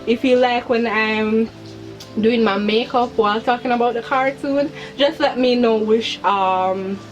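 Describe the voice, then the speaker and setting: A woman's voice: fairly high pitch, steady tone, measured pace, muffled recording, faint music behind. A young adult woman hosting a chatty video for her viewers, with a hyper, giggly manner and emphatic repetition of words. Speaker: video channel host. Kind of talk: chatty personal commentary to viewers.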